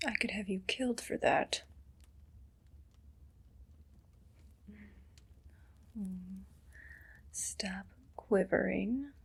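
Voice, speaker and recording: A woman playing a haughty, condescending evil queen in an ASMR roleplay, speaking in a breathy, deliberate whisper. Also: medium pitch, heavily acted. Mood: menacing, haughty. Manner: slow, deliberate, imperious.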